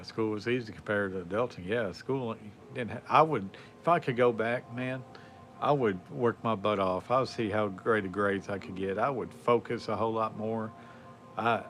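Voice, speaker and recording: A man's voice: fairly low pitch, fairly bright tone. A man, chatting casually on a livestream in a rambling, laid-back way with lots of fillers. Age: 40s. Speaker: livestream host. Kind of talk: casual chat.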